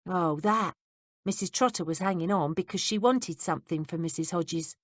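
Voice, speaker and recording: A woman's voice: on the low side, moderate pace, no room sound, studio quality, lightly acted. A woman voicing a sly, gossipy young male servant with blunt working-class speech. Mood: gossiping.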